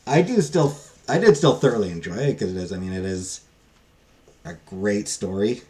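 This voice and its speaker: A man giving a casual, unscripted book review in a halting, rambling, self-deprecating way. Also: on the low side, expressive, warm tone.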